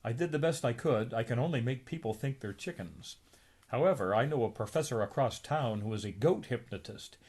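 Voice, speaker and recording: A man voicing a self-assured stage hypnotist professor, speaking in a deliberate, commanding way. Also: medium pitch, animated, darkish tone, slightly rough. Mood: matter-of-fact.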